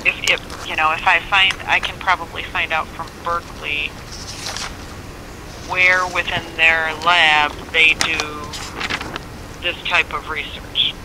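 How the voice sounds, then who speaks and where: An American government records officer explaining things informally and haltingly over a phone speaker, in a helpful way. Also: mid-range voice, little pitch movement. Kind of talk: casual explanatory phone conversation. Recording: noisy speakerphone call picked up outdoors.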